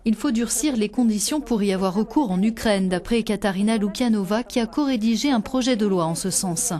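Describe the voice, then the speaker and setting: A woman's voice: medium pitch, crowd noise. Woman reading a news report. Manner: measured, clear news delivery. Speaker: reporter narrating. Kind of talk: scripted news voice-over.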